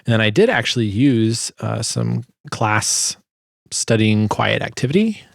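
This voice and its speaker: Male sound designer, low voice with noticeable creak, informal walk-through delivery. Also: big pitch swings, a little slow, no echo. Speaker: sound designer presenting his work. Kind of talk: casual explanatory walkthrough.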